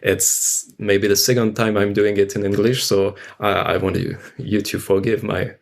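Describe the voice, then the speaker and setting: A man with a French accent talks in a low voice with plenty of creak. As a guest in a casual conversation, he is reflective and pauses often to find his phrasing. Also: flat delivery. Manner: halting, thoughtful. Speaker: guest, theater artist.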